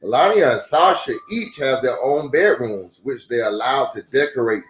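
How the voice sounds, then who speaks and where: A man reads a picture book to children in a lively, swooping voice of medium pitch. He talks straight to his young audience as a storytime host. The room gives his voice a noticeable echo, and music plays underneath. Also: normal speed. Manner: addresses young listeners directly with rhetorical questions and exclamations. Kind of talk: animated read-aloud storytelling for children.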